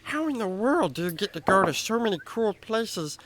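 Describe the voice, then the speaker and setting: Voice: mid-range voice, very animated, moderate pace, a bit bright, a little hiss or hum. An actor voicing an eager, wide-eyed, curious animal sidekick in a children's radio drama. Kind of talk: kids' radio drama acting.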